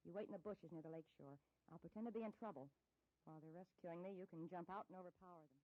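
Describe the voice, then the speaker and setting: A woman's voice: on the low side, fast, highs cut off, lightly acted. A woman playing a cold scheming villainess. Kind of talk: 1950s radio drama acting.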